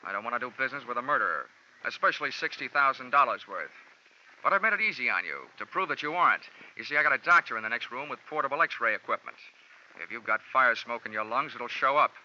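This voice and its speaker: A man's voice: medium pitch, animated, fast talker, pushed and bright. An American man playing a cynical, wisecracking insurance investigator in hardboiled 1940s radio style, with clipped, sardonic delivery. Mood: tough, controlled. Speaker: lead actor and narrator of a detective radio series. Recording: muffled vintage radio recording, music.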